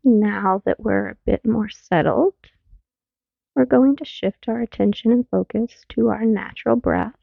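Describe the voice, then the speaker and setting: A woman speaks as a mindfulness teacher, her voice heavily laced with vocal fry. She guides a relaxation practice gently and very slowly, leaving room between phrases. The sound is thin and narrow, like a phone line. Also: medium pitch, dry recording. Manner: gentle, unhurried, soothing, with long pauses. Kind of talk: guided meditation, body scan.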